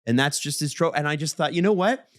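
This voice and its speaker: A man in his thirties from Canada with a booming voice, co-hosting a podcast in casual, animated chat. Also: fast, no echo, studio quality. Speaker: podcast co-host.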